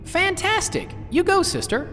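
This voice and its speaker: A woman voicing a playful older male mercenary commander who teases his crew, in an animated sci-fi drama. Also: very high voice, long reverb tail, faint music behind, heavily acted. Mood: excited. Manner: playful, teasing, quick banter.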